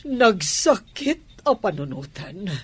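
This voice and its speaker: An actress playing a hurt, angry betrayed wife in a Filipino radio drama, with an emotional, deliberate delivery and a Filipino accent. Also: female voice, mid-range voice, sing-song, breathy edge, full character voice. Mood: wounded, accusing. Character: hurt, angry wife confronting her cheating husband.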